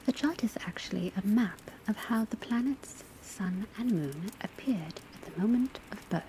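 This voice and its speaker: A woman playing a composed, formal witch school teacher, lecturing in a soft, breathy, often whispery ASMR style with measured, precise delivery. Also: mid-range voice, close to an everyday voice. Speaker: ASMR roleplay performer. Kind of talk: ASMR classroom roleplay lecture. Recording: steady rain and crackling fire noise. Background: rain on roof, crackling fireplace, pencil writing.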